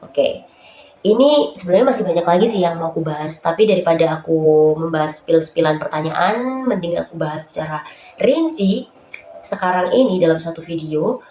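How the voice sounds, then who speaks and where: A woman with a cold and a stuffy, nasal voice talks her viewers through writing tips in a loose, chatty way, full of fillers. Her pitch sits a little low. The room echoes, and faint noise runs underneath. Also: expressive, moderate pace, warm tone. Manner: chatty, many fillers, addresses viewers as guys. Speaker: YouTube host giving writing tips. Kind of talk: casual tutorial vlog. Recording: roomy echo, faint background noise.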